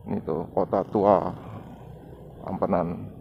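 A man talks casually as a motovlogger, in short off-the-cuff phrases. His voice sits low with a creaky, gravelly edge. The sound is dull and lo-fi, picked up outdoors on a ride. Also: little pitch movement, takes it slowly. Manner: unscripted running commentary in short phrases. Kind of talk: casual vlog narration while riding. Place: outdoors on a motorbike in town streets. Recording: muffled lo-fi action camera, street noise.

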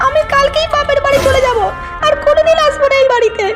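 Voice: steady tone, fast talker. A performer voicing a sulky, whiny cartoon wife who nags and complains. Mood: sulky, nagging. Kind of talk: comic cartoon dubbing. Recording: shrill shifted voice over loud music.

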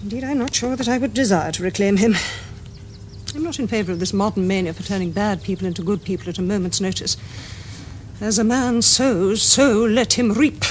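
An actress playing a prim, stern middle-aged English governess in a period comedy, with precise, pompous diction. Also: female voice, medium pitch, fairly quick, slight background noise, close to an everyday voice. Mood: stern, reproving. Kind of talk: period comedy screen acting.

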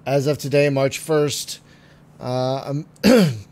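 A man getting over a cold, phlegmy and clearing his throat, chatting casually through a game with many ums and trailing remarks. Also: mid-range voice, takes it slowly, slight background noise. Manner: chatty, frequent ums and uhs, trailing off quietly. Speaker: gaming video host. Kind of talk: casual let's-play commentary.

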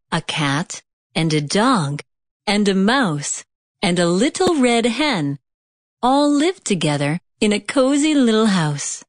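A woman reads a children's storybook as narrator. Her delivery is unhurried and careful, with lively rises and falls in a dry, close recording. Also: medium pitch, no echo. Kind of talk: scripted read-along children's narration.

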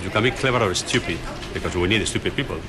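A man's voice: low-pitched, quick pace. A middle-aged man from the Basque Country, a native Basque speaker, talking in a newsroom in emphatic, repetitive lists and searching for words in English. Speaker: Basque newspaper figure. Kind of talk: interview in English. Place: busy newsroom office.

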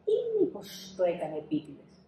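A woman in her thirties narrating a children's storybook expressively, with dramatic pauses and emphasis. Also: fairly low pitch, huge pitch swings, background noise. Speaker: storybook reader. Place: small indoor room, seated. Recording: roomy indoor echo.

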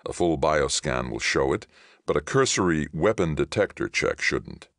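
A man voicing a calm, resolute veteran soldier in audiobook dialogue, measured and decisive. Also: a bass voice, dry recording, clean studio sound, heavily acted. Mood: quiet, decisive.

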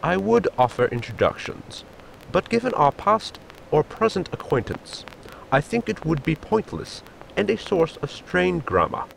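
A man's voice: a little high, very animated, measured pace, gravelly, slight background noise, heavily acted, rough recording. An actor playing a formal, fussy inventor crewman with stiff, precise diction in a sci-fi audio comedy. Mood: dry, fussy. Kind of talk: audio sitcom acting.

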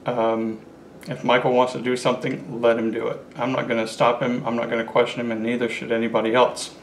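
A man's voice: mid-range voice, level tone, brisk. A man in his forties chatting casually to camera as he holds up his collectibles one by one, recorded at a desk in a small room. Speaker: collector vlogger. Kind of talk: casual show-and-tell vlog. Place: small indoor room with curtains. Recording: roomy echo, faint room hiss.

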